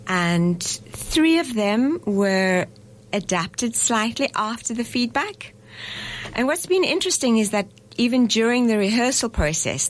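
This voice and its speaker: A woman's voice: mid-range voice, a little hiss or hum. A woman being interviewed in a radio studio as a festival director, explaining things step by step in a chatty way and laughing easily. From South Africa.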